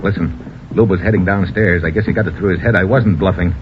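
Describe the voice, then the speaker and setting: An actor playing a tough American adventure hero in old-time radio style, with clipped, slangy, wisecracking delivery and an American accent. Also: male voice, low-pitched, quick pace, natural voice. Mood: wry, relieved. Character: tough, quick-thinking American hero, wry and commanding. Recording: noisy, muffled old radio recording.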